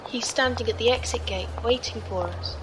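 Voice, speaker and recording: An actress playing a polite, formal and precise humanlike android squire in a science fiction audio drama. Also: female voice, on the high side, moderate pace, noisy background, natural voice. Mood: cool, wary.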